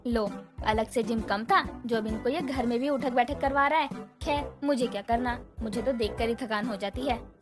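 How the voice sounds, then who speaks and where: A woman's voice: a little high, moderate pace, over music, natural voice. A woman voicing a feisty, protective mother-in-law in a Hindi moral-story cartoon, with a scolding, colloquial way of talking. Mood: weary grumbling. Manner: scolding, colloquial, sharp-tongued. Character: feisty, protective mother-in-law of five gym-going daughters-in-law.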